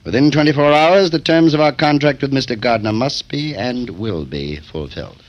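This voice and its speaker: An actor playing a businesslike, commanding boss of a murder firm in 1940s radio crime drama style. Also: male voice, mid-range voice, normal speed, lo-fi sound, very noisy, lightly acted. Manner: businesslike, precise.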